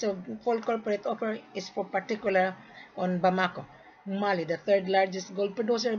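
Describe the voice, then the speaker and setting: A woman from the Philippines making a repetitive, insistent sales pitch, lecturing the listener in a direct-to-camera talk. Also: breathy edge. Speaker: gold sales marketer. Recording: dull lo-fi recording.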